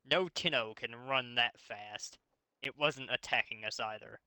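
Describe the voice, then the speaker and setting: A calm, thoughtful alien captain from a fanfiction story, acted out by a man reading aloud. The voice is bright and forceful, with measured, commanding delivery and a very dry, close sound. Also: medium pitch, smooth, dry recording. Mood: calm, resolute.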